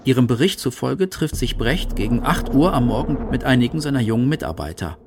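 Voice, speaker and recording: A man's voice: medium pitch, expressive, fairly quick, background noise. A man reading scripted narration for a radio feature. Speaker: documentary narrator. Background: music.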